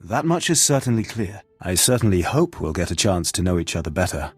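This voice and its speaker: A man playing a courteous, flattering Sith bodyguard in a video game, with a formal, smooth-talking manner. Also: sits low, brisk, dry recording, faint music behind, exaggerated voice. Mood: admiring, flirtatious. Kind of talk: scripted video game dialogue.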